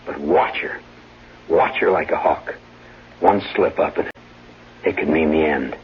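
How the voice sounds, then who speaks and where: An actor playing a cold, scheming husband in a radio drama, controlled and menacing in short clipped phrases. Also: male voice, extremely low pitch, unhurried, breathy edge, full character voice. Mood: cold, commanding. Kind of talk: old-time radio drama acting. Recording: dull old radio recording, humming noise.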